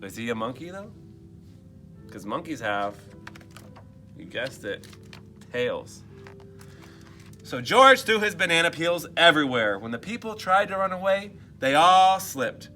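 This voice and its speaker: Male read-aloud storyteller: bright, lively pitch swings, chatty asides to young listeners. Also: a little slow, long pauses, soft background music. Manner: expressive, asks listeners questions in muttered asides. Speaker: teacher reading aloud to children.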